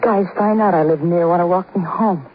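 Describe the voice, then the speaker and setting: An actress playing a bitter, wounded waitress in a radio drama, guarded and terse, with slangy, defiant talk. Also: female voice, on the low side, fairly quick, close to an everyday voice. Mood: guarded, weary. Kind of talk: 1950s radio drama acting. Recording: tinny, noisy 1950s radio recording.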